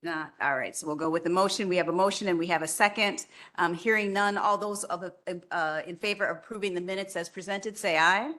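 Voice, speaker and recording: Woman chairing a formal board meeting. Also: fairly low pitch. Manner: reads a prepared report, steady and measured.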